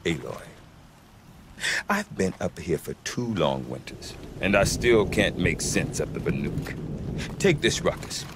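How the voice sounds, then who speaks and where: A man's voice: sits low, big pitch swings, a little slow, noisy background, full character voice. An actor playing a cynical, sardonic male trader in a video game, talking in a wry, dismissive, conversational way. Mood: scornful, sardonic. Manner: wry, dismissive, drawling asides with hesitant hums.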